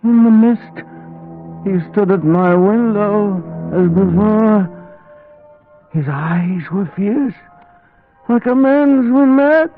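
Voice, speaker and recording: An actor playing a battered, dying madman in old-time radio horror style, halting, fevered and gasping. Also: high-pitched. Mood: weak, haunted. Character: battered, dying madman, raving servant of a vampire. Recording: muffled vintage radio, phone-like, music behind.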